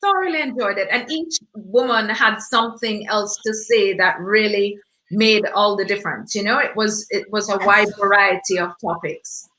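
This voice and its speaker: A woman with a Jamaican accent and a bright, forceful voice hosts an event discussion. She speaks off the cuff, effusively and with emphasis, at an average pitch. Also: normal speed, rough recording. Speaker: event host. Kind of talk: unscripted panel discussion talk.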